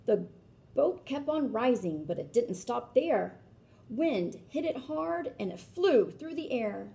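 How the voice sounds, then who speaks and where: A woman reading a children's picture book aloud like a teacher, animated, with explanatory asides and questions to her listeners. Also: on the high side, slow, vocal fry.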